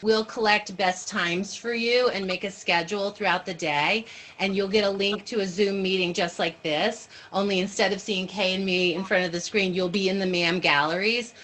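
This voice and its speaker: A woman's voice: mid-range voice, fairly even intonation, normal speed, a bit bright. A woman leading an informal online training for teachers, explaining step by step and checking in with her listeners over a video call. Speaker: workshop leader training teachers. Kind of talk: informal online training presentation with questions and answers. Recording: noisy video-call audio.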